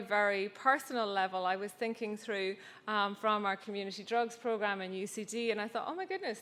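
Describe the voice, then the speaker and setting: A woman's voice: mid-range voice, a bit bright. A woman researcher giving a conference talk, chatty and self-deprecating, with frequent ums. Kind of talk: conference presentation. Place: conference hall. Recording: long reverb of a conference hall.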